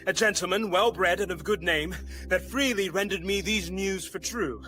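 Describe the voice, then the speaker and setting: An actor playing a courtly, loyal rebel lord in a Shakespearean radio drama, with formal verse delivery. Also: male voice, high-pitched, moderate pace, pushed and bright. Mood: eager.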